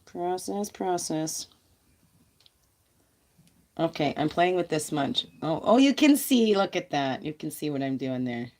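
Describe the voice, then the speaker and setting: A woman's voice: deep voice, animated, a little slow, pauses often, a little mellow. A woman vlogger chatting casually on a livestream about spiritual guidance, rambling and laughing, her voice nasal and stuffy from congestion.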